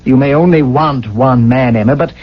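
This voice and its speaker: An actor playing a smug, condescending rationalist from a regimented future in a radio drama, speaking in a glib, theatrical manner. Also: male voice, medium pitch, normal speed, slight background noise, close to an everyday voice. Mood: exasperated, smug. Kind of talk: 1980 radio drama acting. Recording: dull vintage radio, faint music.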